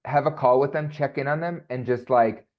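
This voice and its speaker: A man speaking as a voice coach guest, chatty and animated, with plenty of fillers and restarts. His voice sits in a middle range. The sound is dull and a bit lo-fi. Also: mid-range voice, moderate pace. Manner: animated, rambling with many fillers. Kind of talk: casual podcast interview.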